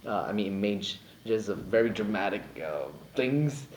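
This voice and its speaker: Young man from Singapore, low-pitched singer guest, joking and rambling, roomy echo with faint background hum. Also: animated, measured pace. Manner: playful, jokey, rambling with many fillers. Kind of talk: casual interview chat.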